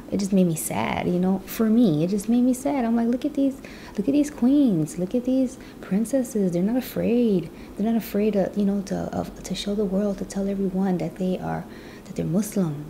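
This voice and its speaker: Low gravelly-voiced woman chatting. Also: warm tone, slight background noise. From Chicago, US Midwest. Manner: chatty, many fillers like "you know". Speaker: vlogger. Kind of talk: personal story vlog.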